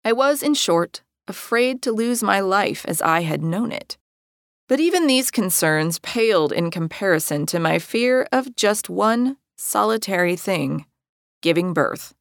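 A middle-aged American woman reading her own personal memoir as an audiobook in a measured, articulate way. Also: medium pitch, lively intonation, creaky, dry recording, studio quality. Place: dry recording studio.

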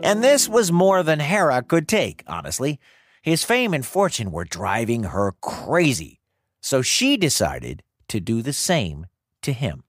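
A man's voice: mid-range voice, huge pitch swings, unhurried, no echo. A man telling a scripted story for children in a conversational, dramatic way with wry, casual asides. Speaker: storyteller, podcast host.

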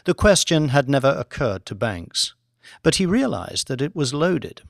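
A man narrating a thriller audiobook from a script in a measured, dramatic storytelling manner. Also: medium pitch, sing-song, gravelly, no room sound, studio quality.

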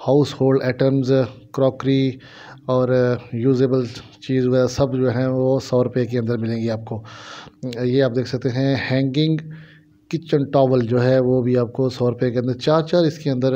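A man with a Pakistani accent gives an explanatory shop tour as a vlogger. His voice is mid-pitched and mellow, with little melody. He speaks casually and repeats himself for his viewers, and the phone audio is slightly muffled. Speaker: vlogger showing shop goods. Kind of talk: casual shopping vlog narration. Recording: dull, lo-fi phone camera audio.

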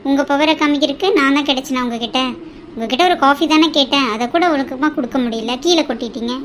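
A haughty young daughter-in-law from a family melodrama acted by a woman, with a high-pitched voice. She is indignant and resentful, full of contempt and veiled threats. There is faint noise behind her. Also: fast, close to an everyday voice. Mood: indignant, sneering.

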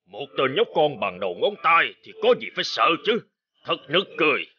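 A man's voice: on the high side, sing-song, brisk, lo-fi sound. A man voicing a huge, menacing and boastful forest giant in a fairy-tale cartoon, scornful and threatening. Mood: mocking. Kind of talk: animated fairy-tale acting.

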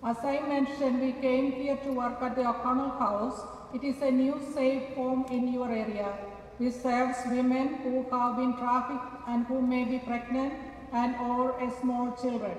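A woman from India, a nun, reads a prepared statement to the congregation in a measured, even way. Her fairly high voice stays flat. It rings through a large, reverberant church, heard from a distant camera. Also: slight background noise. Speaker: nun speaking to the parish. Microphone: headset mic.

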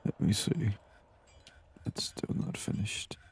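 A man giving soft-spoken ASMR gaming commentary, murmuring as he thinks aloud into a close microphone. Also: slow pace, no echo. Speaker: gaming video host. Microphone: close binaural microphone.

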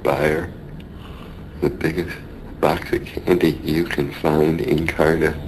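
A weary American sailor on his deathbed in a radio drama. The voice is low and mellow, moving slowly and haltingly, weak with pain and full of wistful fear. A faint noise sits behind it. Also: little pitch movement, creaky, exaggerated voice, low-quality recording. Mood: weak, tender. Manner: halting, labored, brooding delivery.

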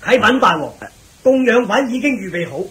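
Dutiful court servant in radio drama, high-pitched voice, formal and respectful announcements. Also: male voice, expressive, a little fast, fairly bright tone. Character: formal, deferential royal attendant. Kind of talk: Cantonese radio drama acting.

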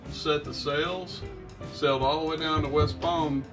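Man, middle-aged, casual sailing vlogger, a little far from the mic, clear music underneath. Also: on the high side, fairly even intonation, measured pace. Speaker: sailing vlog host. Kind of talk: casual recounting of a sailing trip. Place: small wood-panelled sailboat cabin.

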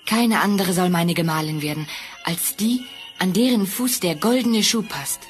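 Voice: mid-range voice, moderate pace, faint music behind, natural voice. An actor playing a noble, determined young prince in a fairy-tale audio play, speaking earnestly and formally. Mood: tender, resolute.

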